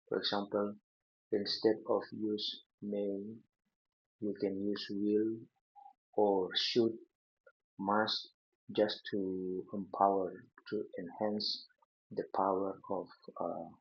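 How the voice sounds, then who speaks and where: A man with an Indonesian accent giving an unscripted lecture over slides, halting often with fillers and restarts. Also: fairly low pitch, gravelly, breathy edge. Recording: dull lo-fi recording.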